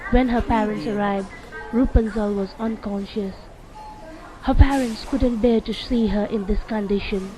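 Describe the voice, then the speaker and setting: A woman with a Nepali accent hosting a children's radio show and reading stories aloud in a deliberate, clearly phrased way for young listeners. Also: medium pitch. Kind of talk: read-aloud children's storytelling, radio presenting. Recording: dull lo-fi radio audio with music. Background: music.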